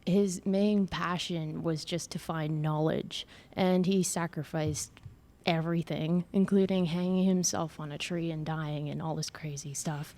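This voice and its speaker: A young woman, a graduating student, talking earnestly and quickly in a casual interview. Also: low voice, fairly even intonation, mellow.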